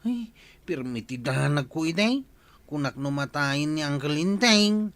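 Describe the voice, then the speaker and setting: A villager in an Ilocano radio drama. His voice is higher than most men's and recorded very dry. He speaks approvingly, as neighbour to neighbour. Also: expressive, a little slow, fairly bright tone, dry recording. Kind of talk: Filipino radio drama acting.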